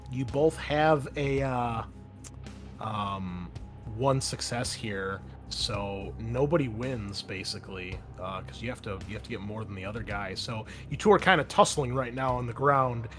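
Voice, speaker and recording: A man's voice: lowish voice, measured pace, a bit bright. A man narrating a tabletop roleplaying game as game master, conversational and explanatory. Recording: music playing behind the voice. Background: background music.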